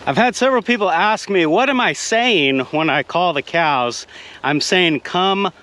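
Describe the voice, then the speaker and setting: A man's voice: sits high, lively intonation, unhurried, pushed and bright, a little hiss or hum. A young man filming his farm vlog, talking casually through the work and thinking aloud as he goes. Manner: easygoing, thinks aloud and corrects himself. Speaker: farmer and video host. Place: outdoors and in a hay barn on a farm.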